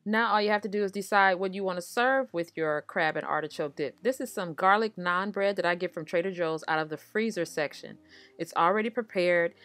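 American lady cooking host, kinda low voice, chatty recipe voiceover talking right to viewers, music in the background. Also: brisk, fairly bright tone, no room sound. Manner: conversational, addresses viewers directly. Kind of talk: casual recipe narration.

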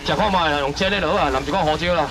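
A man running a live seafood auction at an outdoor night market stall, loudly shouting prices and sales patter. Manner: loud rapid sales pitch, shouting prices. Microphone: wide camera in front of stall. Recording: noisy outdoor market, traffic behind.

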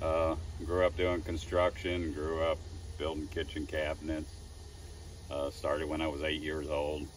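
A man's voice: a bass voice, completely flat delivery, a little slow, distant mic. A man in his sixties, a wood carver, telling folksy stories to a phone camera in an outdoor wood yard, chuckling at himself. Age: 60s. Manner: folksy, chuckling, self-deprecating storyteller. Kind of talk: casual talk to camera. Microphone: phone camera at a distance. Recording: voice slightly off-mic, faint outdoor noise.

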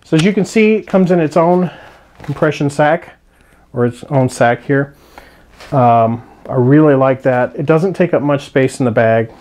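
A man's voice: on the high side, a little slow, darkish tone. A man hosting a hiking channel, reviewing a sleeping bag in a loose, rambling way with many restarts and fillers. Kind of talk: casual gear review.

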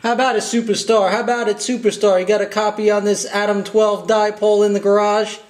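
Man, CB radio chatter, slightly high voice, repeated phrases, clear background noise. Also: level tone, fairly quick. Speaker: CB radio hobbyist. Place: small bare wooden garage. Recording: noisy, echoey garage camera recording.

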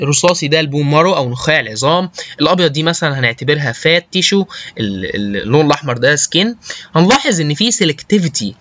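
A man with an Egyptian Arabic accent giving an informal technical lecture, halting and often checking that listeners follow. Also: on the high side, fairly bright tone, no room sound, highs cut off. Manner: halting, often checks understanding with short tag questions. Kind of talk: informal slide lecture with technical English terms.

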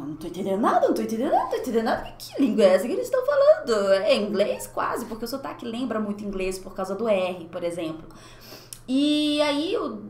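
A woman's voice: fairly high pitch, roomy sound, a little hiss or hum. A young woman teaching French in a livestream, talking animatedly and mixing two languages, on a headset mic in a small room at home. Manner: animated, gestures a lot, mixes two languages. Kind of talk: livestream lesson, casual and expressive.

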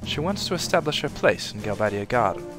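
A commanding middle-aged general in a video game, voiced by a male dubber. He briefs formally in a clipped, medium-pitched voice. Faint music plays underneath. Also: sing-song, fairly quick, natural voice. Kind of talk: game dub dialogue.

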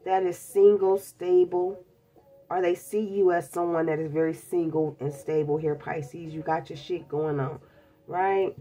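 A woman's voice: lowish voice, warm tone. A woman with a stuffed-up cold, chatting bluntly and casually through a tarot reading, calling her viewers babies. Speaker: tarot reader. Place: indoors at a table covered in tarot decks.

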